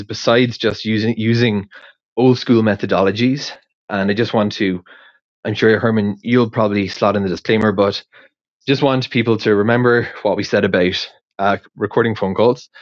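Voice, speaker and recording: A man's voice: fairly low pitch, no room sound. A native English-speaking man rambling casually into a voice recorder, hesitant and circling back over his words. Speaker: podcast creator sending in a question. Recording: dull, dry lo-fi voice recording.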